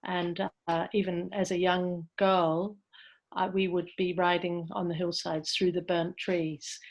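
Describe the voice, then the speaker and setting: A woman's voice: on the low side, level tone, a little slow, dull audio. A woman giving an informal presentation on an online call, speaking in a hesitant, reflective way. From New Zealand. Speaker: presenter from a community organisation.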